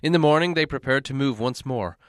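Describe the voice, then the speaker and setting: A man's voice: medium pitch, brisk, no echo, studio quality. A man narrating a fantasy novel aloud as an audiobook reader. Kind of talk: storybook narration, read aloud.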